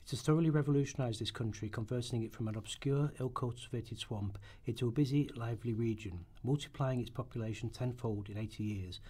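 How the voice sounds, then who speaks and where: Male narrator for documentary radio piece: husky, measured, rehearsal-style script reading. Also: medium pitch, mellow.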